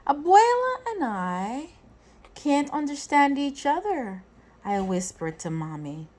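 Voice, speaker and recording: A woman reads a picture book aloud like a teacher to children. Her delivery is slow and deliberate, with a lively, swooping pitch and expressive phrasing that acts out the dialogue. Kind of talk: expressive storybook read-aloud.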